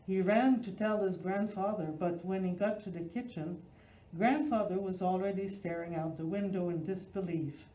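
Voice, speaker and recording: A woman's voice: on the low side, steady tone, moderate pace, warm tone. A woman reads a children's picture book aloud as a storyteller, expressively, phrase by phrase with emphasis. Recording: roomy, slightly dull recording.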